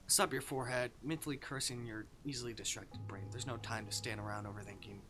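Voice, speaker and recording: A male streamer reading a visual novel aloud with expressive, dramatic delivery and casual commentary. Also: medium pitch, fast talker. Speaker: game streamer. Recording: music behind the voice.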